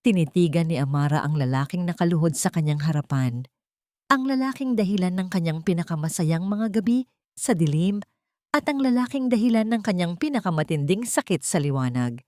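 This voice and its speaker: A woman storyteller narrating a scripted dramatic tale in a deliberate, emotive manner. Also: fairly low pitch, animated, darkish tone, no echo, clean studio sound.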